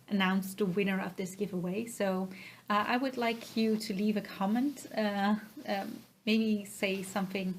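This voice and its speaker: A woman from the Netherlands, a native Dutch speaker with a Dutch accent, chatting casually to her podcast viewers in a halting, self-effacing way. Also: medium pitch, slight background noise. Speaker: podcast host. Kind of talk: casual vlog chat to viewers.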